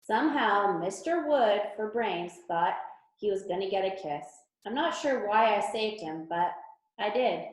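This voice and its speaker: A woman's voice: medium pitch, animated, a little slow, natural voice. A woman reading a children's storybook as a grumpy, long-suffering stuffed toy, with a sardonic, exasperated manner. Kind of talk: children's storybook read-aloud. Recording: echoey room.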